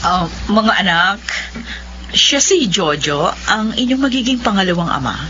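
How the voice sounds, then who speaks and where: A woman's voice: medium pitch, big pitch swings, moderate pace, lightly acted. An actress playing a middle-aged Filipino mother, lovestruck and defensive, in a radio drama. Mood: firm, defensive. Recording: dull lo-fi audio, clear hum.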